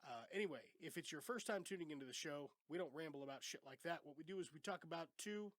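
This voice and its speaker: A man hosts a casual movie podcast while congested and sick, his voice sitting a little high. He talks in a loose, chatty way and swears casually. The sound is dry and close, from a studio mic in a small home studio. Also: quick pace, no room sound. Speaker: podcast host. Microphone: studio microphone.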